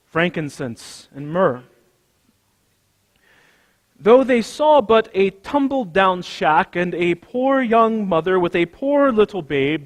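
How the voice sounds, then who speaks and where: A male pastor with a high-pitched voice reads a devotional text solemnly in a church. His delivery is slow and declamatory, each phrase carefully set apart. The sound carries a long hall reverb. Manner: deliberate, declamatory, measured phrasing. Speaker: pastor reading at a church service. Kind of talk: solemn scripted devotional reading. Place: large church during a service.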